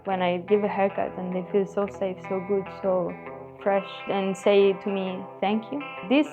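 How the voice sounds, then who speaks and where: A woman's voice: mid-range voice, steady tone, a little slow, phone-quality sound. A barber shop owner, a woman speaking earnestly and simply about her struggles in a documentary interview. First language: not German. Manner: earnest, heartfelt, simple phrasing. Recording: interview clip with guitar music. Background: guitar music.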